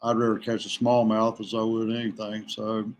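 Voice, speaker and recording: A man from West Virginia chatting casually as a podcast guest, plain-spoken and halting, in no hurry. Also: lowish voice, completely flat delivery. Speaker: podcast guest, veteran kayak angler. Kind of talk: casual chat.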